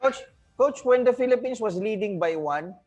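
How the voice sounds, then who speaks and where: A man's voice: high-pitched, expressive, moderate pace, darkish tone, lo-fi sound. A man hosting a sports talk show, asking long, rambling questions to a coach. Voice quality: chatty, rambling questions with "if I'm not mistaken". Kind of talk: casual interview.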